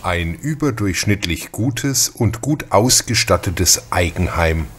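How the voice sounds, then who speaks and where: A man narrating a crime radio play in a calm, matter-of-fact way. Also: deep voice, takes it slowly, slight background noise. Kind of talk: scripted radio drama narration. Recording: narration over loud music.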